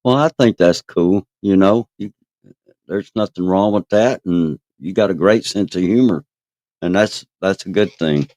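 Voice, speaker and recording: A man's voice: low voice, a little slow, a little mellow, no room sound. A man co-hosting a livestream, chatting casually and encouragingly with plenty of hesitant fillers. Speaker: livestream co-host.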